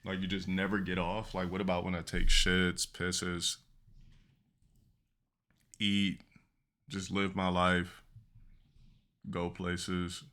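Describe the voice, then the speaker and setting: A man's voice: low-pitched, completely flat delivery, takes it slowly, pauses often, bright, forceful tone. A man streaming and reacting to clips, talking bluntly and thinking aloud with lots of swearing. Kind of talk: casual reaction commentary.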